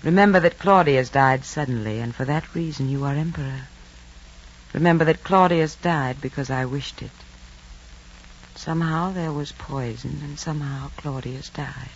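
An actor playing a scheming, imperious mother of a Roman emperor, husky and deliberate, in old radio drama style. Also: on the high side, slight background noise, close to an everyday voice. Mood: commanding, menacing. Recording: dull old radio recording.

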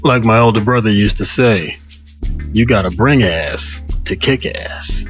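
A man telling a first-person story in a wry, colloquial, measured way, as on a radio show. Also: fairly low pitch, expressive, fairly bright tone. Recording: music under the voice. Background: music bed.

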